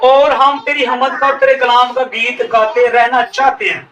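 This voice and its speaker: High-voiced pastor preaching with Pakistani Urdu accent. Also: male voice, little pitch movement, fairly bright tone, slight background noise. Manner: preaching, drawn-out emphatic phrases. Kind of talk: sermon. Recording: echoey room, voice from some distance.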